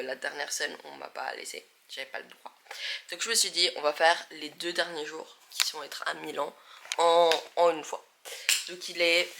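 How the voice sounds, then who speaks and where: Young woman, from Belgium, casual vlog chat, bright tone, low-ish pitch. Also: creaky. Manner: chatty, animated, self-mocking asides. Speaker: vlogger.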